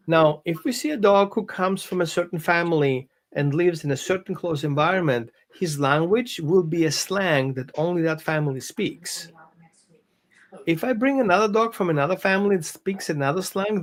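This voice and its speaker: A man's voice: high-pitched, normal speed. A man, a dog behavior consultant explaining on a live show with phrasing and quoted examples. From Greece and Austria.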